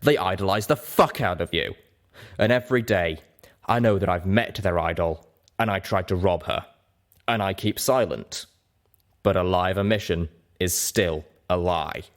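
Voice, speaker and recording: A man voicing a weary, grim pony merchant and father in an audiobook, speaking slowly and deliberately with bitter candour. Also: sits low, lightly acted. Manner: slow, deliberate, bitterly confessional. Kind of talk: audiobook character voice.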